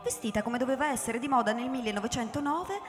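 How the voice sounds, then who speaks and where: A woman's voice: a little high, quick pace. A woman narrating a radio drama in a literary, scripted style. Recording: reverberant hall with music behind.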